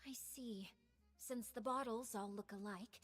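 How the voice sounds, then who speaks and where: A woman's voice: a little high, fairly even intonation, a little slow, a bit bright, husky, faint music behind. A woman voicing a polite, composed young female investigator with an analytical manner in a video game.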